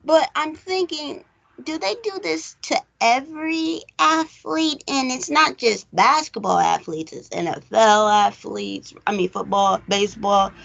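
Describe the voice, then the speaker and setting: Female podcast co-host, unhurried and gravelly with fry, higher-pitched, casual and chatty movie-recap delivery. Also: a bit bright, rough recording. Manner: chatty, acts out imagined dialogue. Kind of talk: casual film discussion with rambling retellings.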